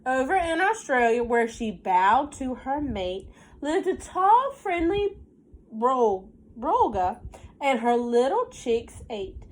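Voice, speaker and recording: High-voiced Southern US woman teacher. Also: big pitch swings, slow pace, rough recording. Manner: sing-song when reading, folksy and conversational in asides. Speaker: teacher reading aloud to children. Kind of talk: picture-book read-aloud with casual asides.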